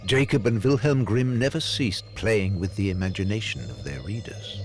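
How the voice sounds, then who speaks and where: A man narrating a scripted nature and folklore documentary, telling it in a measured, dramatic storyteller's way. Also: on the low side, animated. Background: clear background music.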